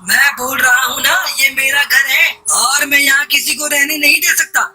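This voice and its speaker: A woman voicing a gruff, bossy male cartoon crow with a husky voice and a brusque, commanding manner. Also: high voice, steady tone, normal speed, over music, natural voice. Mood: angry, bossy. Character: gruff male crow, bossy house owner with a soft heart. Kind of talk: Hindi children's cartoon voice acting.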